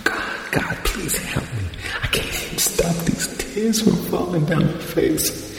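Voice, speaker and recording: A man's voice: on the high side, expressive, unhurried, gravelly. An actor playing a torn young man's inner thoughts as he pleads with God. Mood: anguished. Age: young adult. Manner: anguished and pleading. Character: young man, Tony, torn and repentant. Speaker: inner monologue. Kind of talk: audio drama acting. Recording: echoey reverb with music behind.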